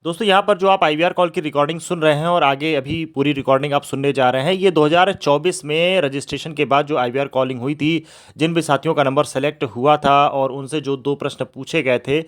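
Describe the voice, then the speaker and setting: Casting: man, online channel host, quick explanatory patter in a slightly raised voice, informal address. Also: a little high, steady tone. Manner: explanatory, addresses viewers as friends. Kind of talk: informal video introduction to viewers.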